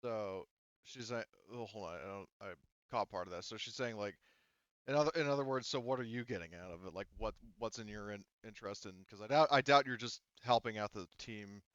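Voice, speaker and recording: A man's voice: fairly low pitch, brisk, a bit bright, clean tone, dry recording, lo-fi sound. A man running a tabletop game, talking casually as he narrates and improvises as game master.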